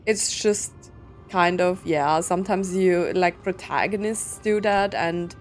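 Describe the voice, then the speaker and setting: A woman's voice: fairly low pitch, slow, soft background music. A woman doing casual let's-play commentary, musing aloud in a conversational way as she plays. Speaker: gaming content creator.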